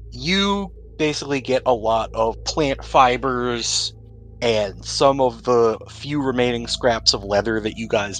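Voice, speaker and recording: A man acting as game master, narrating and explaining rules in a measured, explanatory way. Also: on the low side, a bit bright, rough recording.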